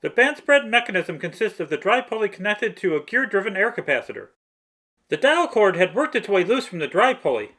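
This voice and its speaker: A man's voice: high-pitched, sharp tone. A man reading scripted how-to narration for a hobby restoration in a methodical, matter-of-fact way. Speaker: hobbyist restorer narrating own repair video.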